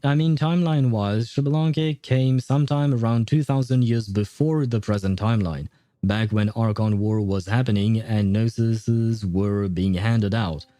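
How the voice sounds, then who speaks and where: A man's voice: medium pitch, warm tone, no room sound. A man giving casual live commentary as a game streamer, often hesitating as he searches for words. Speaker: game livestreamer.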